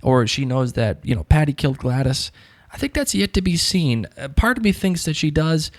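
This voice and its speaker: A man's voice: a little high, sing-song, moderate pace. A man hosting a podcast, answering listener messages in a sympathetic, casual way and thinking aloud, with what he calls a thick accent. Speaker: podcast host.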